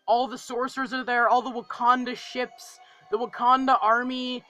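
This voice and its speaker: High-pitched, bright-voiced young man gushing. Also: little pitch movement, moderate pace, faint music behind. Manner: gushing, rambling, repeats phrases for emphasis. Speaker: film review vlogger. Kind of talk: casual, enthusiastic movie review chat.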